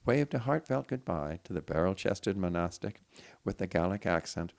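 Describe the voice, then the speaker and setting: Deep, creaky-voiced male storyteller. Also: animated, moderate pace, dry recording. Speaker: audiobook reader. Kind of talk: dramatic literary story narration.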